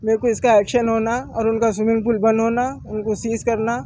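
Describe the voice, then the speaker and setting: Young man, high flat mellow voice. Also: dry recording. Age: young adult. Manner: halting, repetitive, mixes English words. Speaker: bereaved brother giving a statement. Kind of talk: street press statement. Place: outdoors on a street before a crowd. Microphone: cluster of press microphones.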